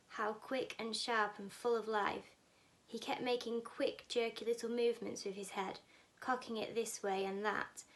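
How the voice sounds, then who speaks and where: A woman's voice: mid-range voice, low-quality recording. A woman reading a children's story aloud as a narrator. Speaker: audiobook reader, teaching assistant.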